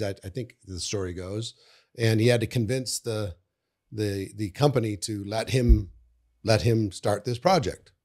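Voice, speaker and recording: An older man hosting a podcast interview in a studio, talking casually into a boom mic, often repeating words and trailing off quietly. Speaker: podcast host. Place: studio with bookshelves. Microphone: studio mic on boom arm.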